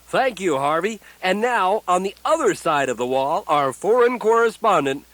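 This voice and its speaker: A man's voice: high-pitched, animated, normal speed, fairly bright tone, a little hiss or hum. A man playing a dramatic, self-important news anchor in a satirical radio play. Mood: dramatic, mock-serious. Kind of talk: satirical radio newscast acting.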